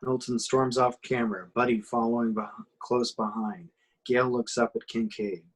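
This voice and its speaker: Man, medium pitch, reads stage action for a horror script table read, dramatic but amateur delivery. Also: steady tone, moderate pace, breathy edge. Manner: dramatic descriptive reading with occasional stumbles. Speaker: action description reader in a script table reading.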